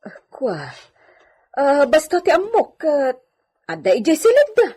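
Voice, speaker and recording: A woman's voice: sits high, sing-song, a little slow, dark tone. A woman playing a nervous, evasive housemaid in a radio drama, speaking hesitantly with an Ilocano accent. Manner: hesitant, stammering, flustered.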